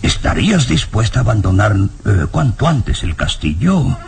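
Casting: anxious old professor in radio drama, gravelly, stammering, pitch leaping wildly. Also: male voice, sing-song, moderate pace, a little mellow. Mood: urgent dread. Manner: stammering, hesitant, evasive. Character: nervous, frightened elderly male professor.

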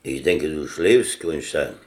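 Very deep, dry-spoken stubborn ex-boxer. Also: male voice, lively intonation, slow pace, full character voice. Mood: gruff. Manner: dry, colloquial, laconic. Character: stubborn, wry German working-class ex-boxer. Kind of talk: German radio drama acting.

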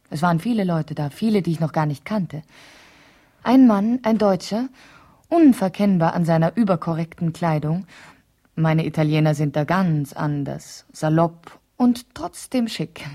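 A woman's voice: medium pitch, mellow, breathy edge. An actress playing a vain, chatty and easily indignant wife in a 1970s German radio play, digressing as she justifies herself.

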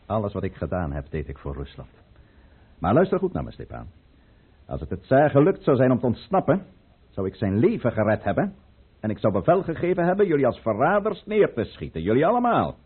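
An actor playing a proud, resolute conspirator count in a radio drama, with grave, commanding, theatrical delivery. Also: male voice, medium pitch, wildly swooping pitch, brisk, breathy edge. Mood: commanding, brooding. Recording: muffled, narrow-band old radio play recording.

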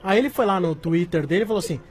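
High-voiced joking radio host. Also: male voice, racing, faint music behind. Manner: jokey storyteller. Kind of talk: casual sports talk.

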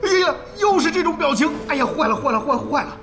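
A man's voice: very high-pitched, lively intonation, fast, vocal fry, a bit bright, slight background noise, full character voice. An actor playing a spiteful, resentful male esports player in a radio drama, full of theatrical sarcasm and taunts. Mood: mock-friendly, sneering. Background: background music.

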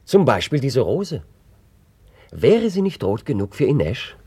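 An actor playing a gentle, pleading fiancé in a radio play, speaking softly and coaxingly. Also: male voice, mid-range voice, big pitch swings, unhurried, husky, no room sound, full character voice. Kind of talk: radio drama acting.

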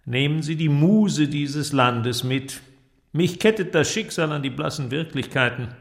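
An actor playing a pensive, sombre middle-aged inventor in a literary audio drama, speaking in a measured, deliberate way with a creaky voice. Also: male voice, on the high side, expressive, full character voice. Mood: solemn farewell.

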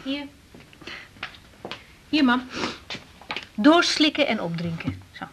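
An actress playing a gentle, concerned young doctor in a radio drama, earnest, soothing and a little hesitant. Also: female voice, sits high, sing-song, takes it slowly, a little hiss or hum, exaggerated voice. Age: young adult.

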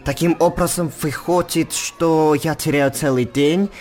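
An actor playing a fussy, businesslike foreign circus man in a radio play, deliberate and hemming, in broken Russian. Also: on the high side, fairly even intonation, a little mellow, lightly acted. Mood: wary, calculating. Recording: music under the voice.

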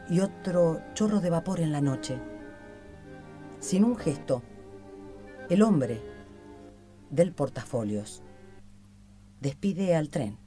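Casting: radio host, theatrical and literary delivery, mid-range pitch, music under the voice. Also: mellow. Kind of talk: theatrical scripted reading and interview hosting.

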